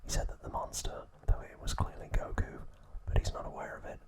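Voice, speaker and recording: A man narrating a recap as an ASMR host in a soft, unvoiced whisper, in short deliberate phrases.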